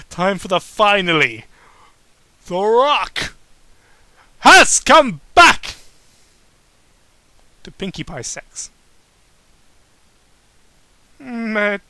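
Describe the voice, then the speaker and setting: Bright-voiced, exasperated man reading mockingly. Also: high voice, no room sound. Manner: exasperated, sarcastic, swears freely. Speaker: amateur reader and commentator.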